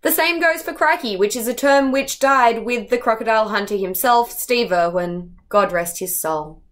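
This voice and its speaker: A woman's voice: on the high side, moderate pace, fairly bright tone. A young adult woman from Australia with an Australian accent, explaining things in a dry, wry vlog monologue. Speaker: vlogger.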